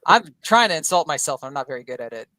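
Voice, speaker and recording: A man's voice: fairly high pitch, very animated, fast talker, pushed and bright, no room sound. A man chatting as a guest on a call, rambling and poking fun at himself, with some swearing. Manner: rambling, self-deprecating, swears. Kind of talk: casual chat.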